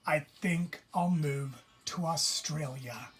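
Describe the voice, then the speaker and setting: A man reading a children's storybook aloud as a teacher, expressively, with dramatic drawn-out phrase endings. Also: fairly high pitch, fairly bright tone, slight background noise.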